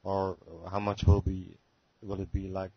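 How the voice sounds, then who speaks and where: A male caller on a conference call, hesitantly working out a question with many pauses and rephrasings. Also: low voice, completely flat delivery. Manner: hesitant, searching for words, many fillers. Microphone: phone line. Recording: muffled phone-call audio.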